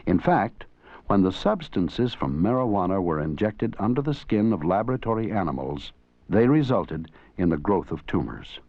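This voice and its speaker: A man's voice: sits low, sing-song, moderate pace, darkish tone, dry recording. A man narrating an educational film from a script, in an earnest, didactic way. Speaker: documentary narrator and presenter. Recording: dull 1980s educational film soundtrack.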